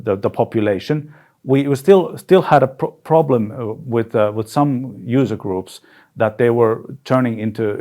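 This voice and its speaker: Halting, low-voiced man. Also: warm tone. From Finland. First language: Swedish. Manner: halting, many repeated words and fillers. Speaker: webinar presenter. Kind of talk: webinar presentation.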